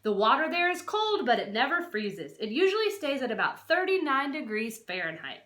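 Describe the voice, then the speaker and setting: A woman's voice: sits high, sing-song, normal speed, a bit bright. A woman reading a non-fiction children's book aloud like a teacher, expressively, stressing key words and adding short explanatory asides. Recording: roomy, echoey sound.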